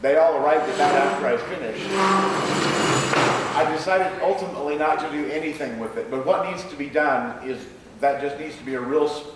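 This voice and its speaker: A man speaking as a screenwriter in a live question-and-answer session, with a halting, hesitant delivery. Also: mid-range voice. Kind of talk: live Q&A after a staged reading. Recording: echoey room, distant mic, faint noise.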